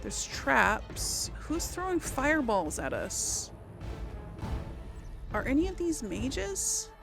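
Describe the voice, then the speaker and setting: A woman's voice: high-pitched, sing-song, slow, creaky. A woman giving casual game commentary to viewers, exclaiming and muttering her reactions as she plays. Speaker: let's play host. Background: game music.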